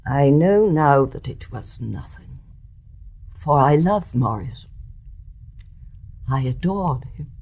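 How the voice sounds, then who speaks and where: An actress playing a grave, resolute older British mother in a radio drama, speaking in a measured, refined and deliberate way. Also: very deep voice, lively intonation, a little mellow, heavily acted. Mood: tender, sorrowful. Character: grave, resolute older English mother confessing her deed.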